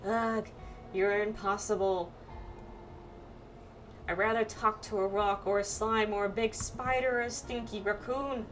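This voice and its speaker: A woman's voice: medium pitch, fairly even intonation, a little slow, pauses often, fairly bright tone, far from the mic, faint music behind, lightly acted. A woman voicing a playful, impatient young female pilgrim from a fantasy game, with a whiny, mischievous manner. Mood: exasperated.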